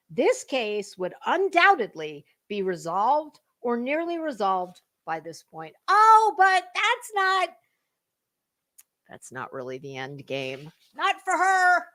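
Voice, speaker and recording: A woman's voice: high voice, sharp tone. An American woman doing a dramatic reading mixed with casual, gossipy commentary, blunt and snarky with theatrical emphasis. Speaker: online commentator reading documents aloud.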